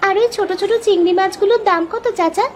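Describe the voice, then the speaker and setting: A woman's voice: very high-pitched, fast, a faint crowd. A woman voicing a caring, dutiful young village daughter in an earnest, simple way for a moral-story cartoon. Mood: earnest, wistful.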